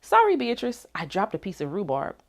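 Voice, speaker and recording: A woman's voice: medium pitch, huge pitch swings, fairly quick, creaky, pitched above the performer's own voice. A woman voicing a cheerful, playful young schoolgirl in a children's storybook, with an inviting sing-song manner. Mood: eager, friendly.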